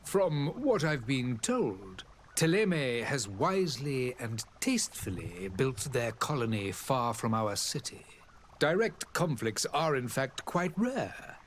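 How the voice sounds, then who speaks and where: A dignified game diplomat with a mid-pitched, highly expressive delivery. He is formal, proud and eloquent, measuring out courteous sentences over faint ambient noise. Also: slow. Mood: weary, resolute. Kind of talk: formal role-playing game dialogue.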